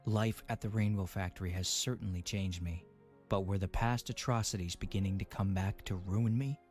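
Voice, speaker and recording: A man narrating a dramatic first-person story in a brooding, measured manner. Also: low-pitched, fairly even intonation, a little mellow, natural voice. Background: faint music.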